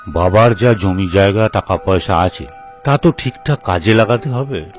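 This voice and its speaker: A man's voice: fairly low pitch, normal speed, faint music behind, close to an everyday voice. A man playing a scheming, self-interested married elder brother in a folk tale, with a sly, persuasive manner. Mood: calculating. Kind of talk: acted dialogue for a story video.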